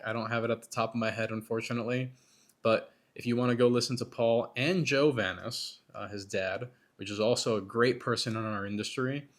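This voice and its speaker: A man hosting a podcast, talking casually and a bit self-critically with lots of restarts, his voice worn and hoarse from being sick. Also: mid-range voice, a bit bright. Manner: rambling, self-critical, many restarts and fillers. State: losing his voice, getting over being sick. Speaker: podcast host. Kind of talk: casual solo commentary between interview segments.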